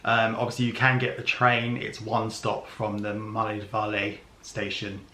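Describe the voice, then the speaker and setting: A man's voice: fairly low pitch, completely flat delivery, moderate pace, pushed and bright. A man vlogging, casually explaining travel plans with many ums. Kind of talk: casual planning chat. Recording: echoey room.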